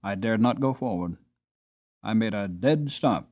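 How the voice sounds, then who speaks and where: A man narrating a storybook dramatically, expressive and varying his pacing, on a slightly dull recording. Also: on the low side, a little slow. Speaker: audiobook narrator. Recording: slightly dull, band-limited audio.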